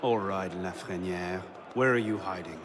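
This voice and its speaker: A man playing a low-voiced, terse young assassin. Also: normal speed, crowd noise, close to an everyday voice. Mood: low, brooding. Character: determined young male vengeful assassin. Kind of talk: dramatic video game cutscene acting. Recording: loud music behind.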